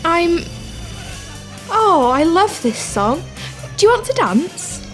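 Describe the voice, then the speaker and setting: A woman's voice: extremely high pitch, big pitch swings, takes it slowly, a bit bright, soft background music, heavily acted. A woman playing a shy, hesitant young pantomime heroine in an audio production.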